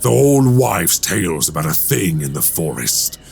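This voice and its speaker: An actor in a radio drama playing a sinister, condescending man who is secretly a werewolf, speaking softly and slowly with menace. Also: deep voice, sing-song, gravelly, a little hiss or hum, exaggerated voice. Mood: gloating, monstrous. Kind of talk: immersive radio drama acting.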